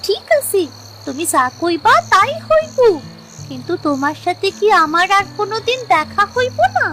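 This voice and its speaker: A woman's voice: very high-pitched, very animated, normal speed. A woman voicing a kind, grateful young village girl in a children's cartoon, sweet and earnest, with a rural Bangladeshi Bengali accent. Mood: grateful, wistful. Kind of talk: children's cartoon fairy-tale dialogue. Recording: music behind the voice.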